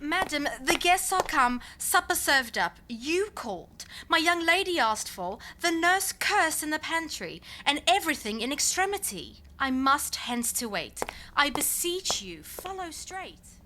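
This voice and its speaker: A woman acts a harried servant in a Shakespearean audio play. Her voice is high and animated as she urgently rattles off news. The home recording is clearly noisy. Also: a little slow. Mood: flustered, urgent. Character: flustered, harried household servant. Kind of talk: Shakespearean audio drama acting.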